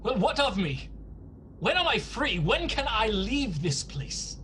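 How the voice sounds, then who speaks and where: An actor playing a fearful, desperate young English gentleman in a radio play, with a dramatic delivery. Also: high voice, very animated, unhurried, a little hiss or hum, full character voice. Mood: pleading. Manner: dramatic, fearful diary narration. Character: anxious, desperate young English solicitor imprisoned in castle.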